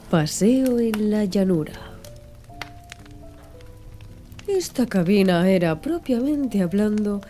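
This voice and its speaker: A woman narrates an audiobook in a soft, mellow voice. Her pitch moves in lively swings through the phrases, with music playing behind her.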